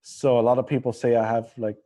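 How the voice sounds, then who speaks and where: Mellow rambling man with slightly American accent. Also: medium pitch, level tone, quick pace. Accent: somewhat American. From Singapore. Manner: rambling, informal, blunt, with casual swearing. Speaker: interview guest, drone company representative. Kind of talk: casual livestream interview chat.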